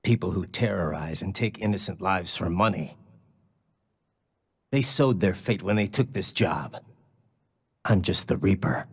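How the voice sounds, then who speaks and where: An actor playing a grim, sardonic cyborg soldier in a video game, with a gravelly, husky voice and terse dry delivery. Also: male voice, low-pitched, lively intonation, unhurried, long pauses, big-room sound, heavily acted. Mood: cold. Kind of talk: video game cutscene acting.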